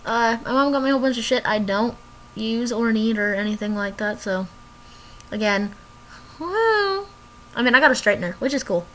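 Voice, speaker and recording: A woman's voice: on the high side, a bit bright, slight background noise, rough recording. A young woman vlogging casually from her bedroom, rambling and drifting off topic as she chats to the camera. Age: young adult.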